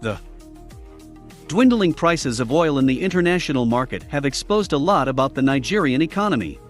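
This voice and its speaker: Male audiobook reader, fairly high voice, lively intonation, soft music underneath. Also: normal speed. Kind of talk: reading a nonfiction book aloud.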